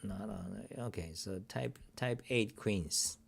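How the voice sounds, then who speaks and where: A man's voice: lowish voice, takes it slowly, creaky, a little hiss or hum. A middle-aged man hosting a casual screen-share talk show at his home desk, rambling and grumbling with profane asides. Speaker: host.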